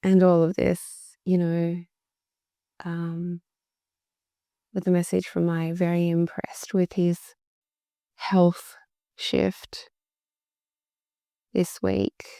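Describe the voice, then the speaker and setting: Woman podcast host, voice deep and worn from overuse, slow and dark, reflective delivery. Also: completely flat delivery, no room sound, clean studio sound. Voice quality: deep, gravelly. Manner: slow, reflective, repeats words for emphasis. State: voice worn out from talking a lot. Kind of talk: solo podcast monologue.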